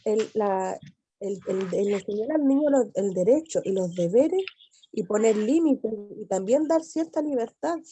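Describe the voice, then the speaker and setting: Earnest young Chilean woman, dark voice. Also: medium pitch, lively intonation, measured pace, a little hiss or hum. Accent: Chilean Spanish. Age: 20s. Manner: earnest, emphatic, with many restarts. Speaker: adult student. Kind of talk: class discussion.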